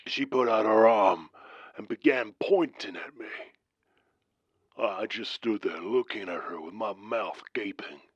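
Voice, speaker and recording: A man playing a folksy Southern trucker who calls a late-night radio show, telling an eerie tale in a slow, drawn-out manner. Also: lowish voice, a bit bright, breathy edge. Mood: ominous, reflective. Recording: muffled, roomy sound.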